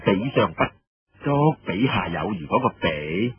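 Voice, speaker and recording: A man's voice: lowish voice, very animated, measured pace, over music, voice pushed down. A man voicing a nervous, deferential surrendered officer in an audiobook story, reporting to a commander with hesitant, obsequious care. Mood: nervous deference. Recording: muffled lo-fi roomy radio-like recording.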